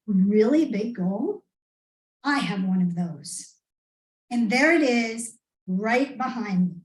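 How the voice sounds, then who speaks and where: A middle-aged American woman giving a rehearsed, humorous storytelling speech in a theatrical manner with dramatic pauses. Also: high voice. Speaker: speech contestant. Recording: roomy, echoing live speech pickup.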